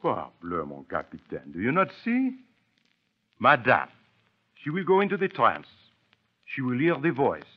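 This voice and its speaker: Pompous Belgian detective, animated, old radio. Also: male voice, medium pitch, unhurried, pauses often, breathy edge, heavily acted. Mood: self-satisfied. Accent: French-speaking Belgian. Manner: pompous, precise, dramatic. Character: shrewd, vain male Belgian detective, courteous and theatrical. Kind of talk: old-time radio mystery acting. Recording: dull, lo-fi old radio recording with music.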